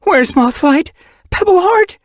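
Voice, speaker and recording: A man's voice: very high-pitched, animated, slow, no room sound, clean studio sound, voice pushed up, full character voice. A man voicing a frantic tortoiseshell she-cat. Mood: panicked. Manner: panicked, breathless urgency.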